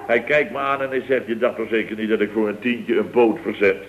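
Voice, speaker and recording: A man's voice: lowish voice, fairly even intonation, a little fast, lightly acted. An actor playing a blustering, exasperated Dutch company boss in a late-sixties radio comedy, exclaiming his way through anecdotes. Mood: mock jovial. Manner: exclamatory, anecdotal, full of tag questions. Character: blustering, exasperated male boss of a building firm. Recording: dull old radio recording.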